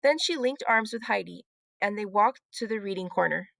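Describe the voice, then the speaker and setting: A woman's voice: mid-range voice, lively intonation, moderate pace, creaky, fairly bright tone, no echo. A woman narrating a children's storybook as a read-aloud storyteller.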